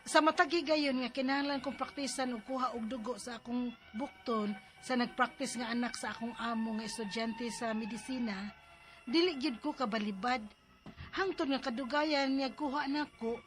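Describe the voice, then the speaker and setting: A woman with a high voice narrates a dramatized life story in the first person. She speaks plaintively and confidingly, as if sharing her troubles. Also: normal speed, over music. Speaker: storyteller narrating as the letter-sender.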